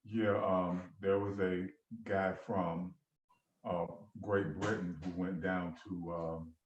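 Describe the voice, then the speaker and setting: A low-voiced man joins a casual faith discussion and explains things steadily. His audio comes through muffled and echoey, with a roomy ring and a dull, narrow band. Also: flat delivery, slow. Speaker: guest panelist.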